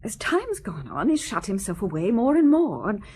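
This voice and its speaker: An actress playing a haughty, anxious middle-aged wealthy wife in a radio detective drama, imperious and insinuating, with deliberate dramatic pauses. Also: female voice, mid-range voice, huge pitch swings, darkish tone, slight background noise.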